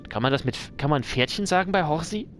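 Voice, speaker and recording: Animated man doing game commentary. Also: fairly high pitch, brisk, faint music behind. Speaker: let's play host. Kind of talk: let's play commentary, reading game text aloud. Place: voice recorded over game audio, music behind.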